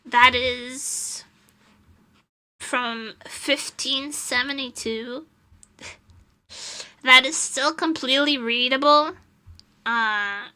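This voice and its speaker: A woman's voice: on the high side, bright, forceful tone, clear, resonant tone. A young woman from Portugal chatting casually on a live stream, answering chat and laughing often.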